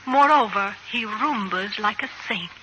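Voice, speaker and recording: An actress playing a poised, spirited wife, tart and self-possessed, in 1940s radio comedy style on a noisy old recording. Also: female voice, mid-range voice, measured pace, pushed and bright. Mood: tart, defiant. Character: poised, spirited suburban wife standing her ground.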